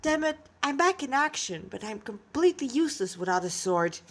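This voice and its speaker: A woman's voice: on the high side, sing-song, fairly quick. A woman voicing a sarcastic, exasperated young male hero who grumbles informally in a storybook reading.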